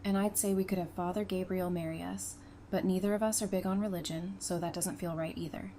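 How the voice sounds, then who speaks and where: A woman acting an affectionate, gently teasing girlfriend in an audio drama, in a casual conversational manner. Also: on the low side, fairly even intonation, fairly quick, close to an everyday voice. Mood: surprised, thoughtful. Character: affectionate, teasing girlfriend in a post-apocalyptic romance. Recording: noticeable background noise.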